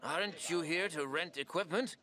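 A man's voice: on the high side, moderate pace, bright, forceful tone. A man playing a chipper, folksy old fishing shopkeeper in a video game, with an eager sales manner. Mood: chipper, inviting.

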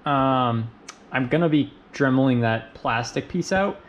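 A young man hosting a car vlog from his home garage, talking through his project plans in a relaxed, informal way with plenty of ums. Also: mid-range voice, unhurried, muffled recording, slight background noise. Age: young adult. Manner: relaxed and informal, many ums, talks shop in loose run-on lists. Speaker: car channel host. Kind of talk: casual garage walkthrough vlog.

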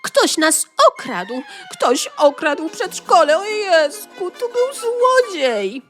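A woman voicing a panicky, dramatic little girl who talks in shrill outbursts. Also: very animated, slow, a bit bright, faint music behind, heavily acted. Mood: panicked.

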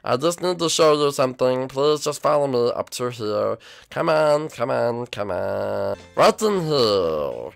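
Brief: a performer as a nervous young villain's son, pleading, stumbling over fillers, a lively voice with a gravelly edge. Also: mid-range voice, a little slow. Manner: pleading, hesitant, full of "uh" and "um". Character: young male turtle-dragon prince, the villain's son.

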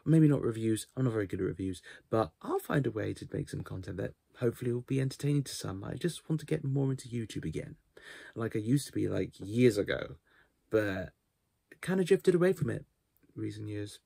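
A man's voice: lowish voice, lively intonation, fairly quick, creaky, warm tone, husky, no room sound. A man in his twenties giving a casual channel update to camera, with hesitant, self-deprecating asides. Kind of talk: casual vlog talk to camera. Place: small cluttered bedroom, indoors.

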